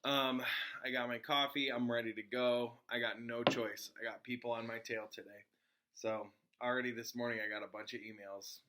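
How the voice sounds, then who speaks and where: A man with a bright, crisp-edged tone at a middling pitch reads a children's novel aloud like a classroom teacher. His delivery is informal, moving between narration and casual explanations for his listeners. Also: little pitch movement, normal speed. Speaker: teacher reading aloud to students.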